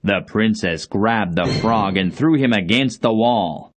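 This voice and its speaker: A man's voice: fairly low pitch, unhurried, background noise. A man narrating a children's fairy tale as a scripted storybook reading.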